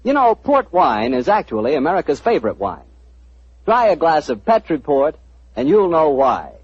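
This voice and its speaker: A male radio announcer delivering a sponsor spot with a smooth, persuasive salesman's lilt. His slightly high voice is a bit husky and rises and falls brightly. The sound is dry and dull, like an old broadcast. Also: wildly swooping pitch, normal speed, no echo. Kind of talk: scripted radio commercial. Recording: dry, lo-fi 1940s radio recording.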